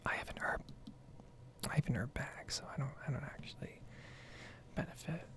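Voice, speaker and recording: A woman speaking in a soft, breathy whisper, rambling casually in an ASMR style as she comments on her own gameplay. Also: a bass voice, normal speed. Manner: casual, meandering. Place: basement at home.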